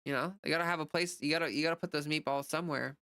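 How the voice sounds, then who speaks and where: A woman's voice: deep voice, fairly even intonation, rapid-fire, a bit bright, dry recording. An American woman livestreamer chatting casually and off the cuff, rambling informally as she answers viewer comments. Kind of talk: casual unscripted chat.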